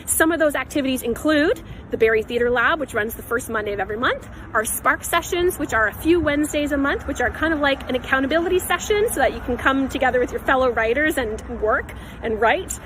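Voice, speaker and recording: A woman makes announcements as a theatre coordinator. Her voice sits fairly high, and a faint urban noise with some traffic runs behind it. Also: expressive, brisk, few pauses, fairly bright tone. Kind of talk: announcement to online audience.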